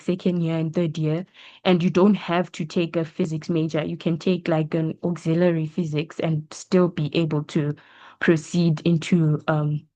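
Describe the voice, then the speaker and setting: A young woman talks a school pupil through study choices. Her voice sits low and she stays patient and reassuring, pausing on ums. The sound is dull and lo-fi. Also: darkish tone. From South Africa. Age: young adult. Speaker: science student answering questions. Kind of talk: casual advice session. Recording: dull lo-fi audio.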